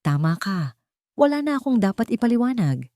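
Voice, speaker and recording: A woman voicing a weary, desperate young man in a dramatized audiobook, speaking hoarsely and pleadingly. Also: a little high, very animated, moderate pace, warm tone, no echo, studio quality, pitched above the performer's own voice.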